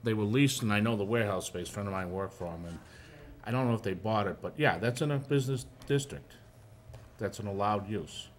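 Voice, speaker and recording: A man's voice: lowish voice, brisk. A man chairing a town planning board meeting, talking in an offhand, joking way and calling the votes. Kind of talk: casual meeting talk.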